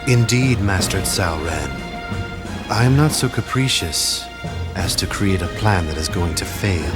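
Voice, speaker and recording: A shrewd, haughty strategist of ancient China, with a low voice. He speaks with measured, theatrical condescension, as in a video game drama. Loud music plays underneath. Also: animated. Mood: confident.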